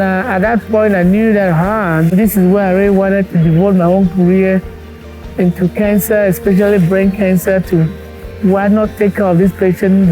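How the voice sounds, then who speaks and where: A man in his forties from Cameroon, a doctor and cancer researcher, speaking earnestly and reflectively in a documentary interview, pausing as he thinks. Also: high voice, dark tone, poor audio. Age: 40s. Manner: earnest and reflective, with thoughtful pauses.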